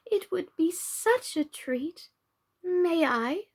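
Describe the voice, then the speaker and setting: A woman voicing a gentle, dithering queen who coos like a pigeon and recites in a sing-song way. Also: very high-pitched, expressive, extremely slow, voice pushed up, heavily acted. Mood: cooing. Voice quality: cooing like a pigeon.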